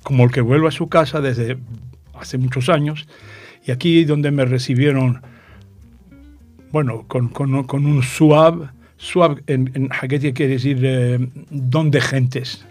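An older man from Tangier with a northern Moroccan Judeo-Spanish accent, chatting as an interview guest in a playful, digressive storytelling way and pausing to explain words. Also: mid-range voice, fairly even intonation, a little mellow, soft background music. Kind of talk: conversational storytelling.

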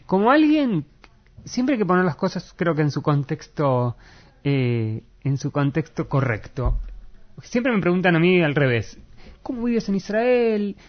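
A man's voice: a little high, sing-song, unhurried. A man from Argentina with an Argentine accent gives explanatory political analysis in an interview, often searching for words and repeating key ones for emphasis. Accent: Argentine Spanish. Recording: dull, lo-fi muffled sound.

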